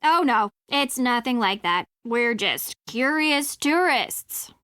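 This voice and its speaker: A woman's voice: on the high side, lively intonation, takes it slowly, fairly bright tone, clean tone, dry recording, clean studio sound. A woman playing a sardonic, reluctant young sidekick in a video game, casual and flippant. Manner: wry, flippant.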